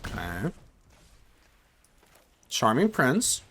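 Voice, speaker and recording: Animated young man streaming a card game. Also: medium pitch, very slow, vocal fry, breathy edge. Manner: animated, talks to himself and chat, jokey. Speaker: gaming streamer. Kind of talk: live gameplay commentary.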